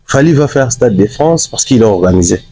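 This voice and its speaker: A man from Congo speaks emphatically, slipping Lingala words into his French. His voice is warm and mellow, of middle pitch. The recording is dry and close. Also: lively intonation, brisk, husky, no echo. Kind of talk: street interview.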